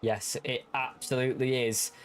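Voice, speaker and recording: A man with a Yorkshire accent co-commentating a sim racing league in a relaxed, self-deprecating way, with frequent ums. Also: medium pitch, moderate pace. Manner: easygoing, self-deprecating, filler-heavy.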